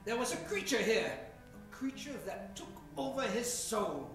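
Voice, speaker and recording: Man acting as self-important professor hero, slow drawn-out delivery, animated pitch, radio adventure play. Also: exaggerated voice. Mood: portentous. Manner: theatrical, portentous, drawn-out. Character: pompous hard-drinking professor adventurer, mentor to a boy. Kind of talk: old-time radio serial acting. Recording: very echoey stage, clear music behind.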